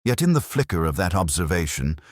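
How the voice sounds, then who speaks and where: A man narrating a scripted first-person detective story in a measured, literary, brooding way. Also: sits low, very animated, no echo, studio quality.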